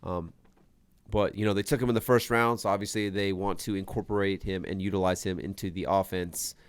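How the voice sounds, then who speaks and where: A man's voice: low voice, steady tone, fairly quick, smooth. A young man with a stuffy, congested voice, co-hosting a fantasy football podcast in casual, emphatic chat. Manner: emphatic, repeats his points. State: congested from a sinus infection.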